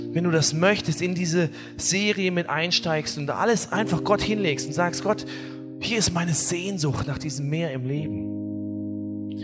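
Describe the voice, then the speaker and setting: A man preaching a sermon as pastor, telling stories with humor in a lively way. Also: a little high. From not from Bavaria or Austria. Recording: echoey hall, faint music.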